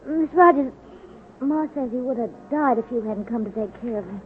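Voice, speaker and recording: A woman's voice: fairly high pitch, animated, normal speed, close to an everyday voice. An actress playing an eager, grateful young girl from the slums in a 1930s radio drama. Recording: noisy tinny old radio recording.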